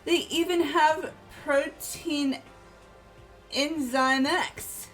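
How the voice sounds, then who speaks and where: A woman voicing a bubbly, excitable, athletic teenage girl in a fan game playthrough. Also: high-pitched, extremely slow, soft background music, heavily acted, rough recording. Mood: eager. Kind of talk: amateur fully voiced game playthrough.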